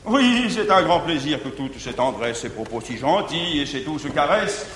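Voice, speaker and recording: An actor playing a jealous, domineering middle-aged guardian, declaiming French verse on a theatre stage in a 1950s live recording. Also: male voice, very animated, normal speed, fairly bright tone. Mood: lecturing. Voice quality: declamatory, booming. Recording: echoey theatre hall, faint hiss, 1959 live stage recording.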